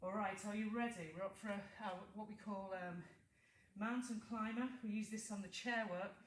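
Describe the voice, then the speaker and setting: A woman's voice: mid-range voice, steady tone, moderate pace, distant mic. A British woman Pilates instructor, congested from hay fever, counting reps and cueing movements while demonstrating. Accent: British English. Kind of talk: guided exercise class instruction. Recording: echoey room, voice from afar, faint hiss.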